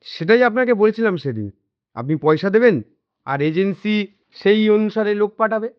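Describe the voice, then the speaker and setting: An actor playing an affable, practical go-between who explains things plainly in a radio drama. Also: male voice, high voice, expressive, moderate pace, clear, resonant tone, no echo. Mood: explaining. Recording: dull lo-fi audio with background music.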